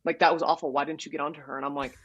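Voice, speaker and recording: A young woman from Texas, a college goalkeeper telling stories in a loud, direct, animated way during a casual interview. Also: low-pitched, rapid-fire, muffled recording. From Dallas, Texas. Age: young adult. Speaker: soccer goalkeeper, guest.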